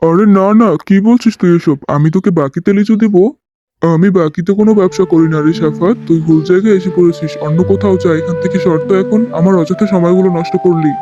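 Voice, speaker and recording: A man's voice: high-pitched, fast talker, music in the background, close to an everyday voice. A man voicing an impatient, curt and boastful tiger fruit seller in a children's cartoon, on a dull lo-fi recording. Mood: scornful.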